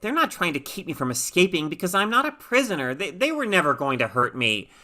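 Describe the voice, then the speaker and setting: A woman's voice: fairly low pitch, big pitch swings, brisk, sharp tone, pitched above the performer's own voice. A woman voicing a frightened, bewildered teenage girl in a story reading, urgent and questioning. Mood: agitated, compelled.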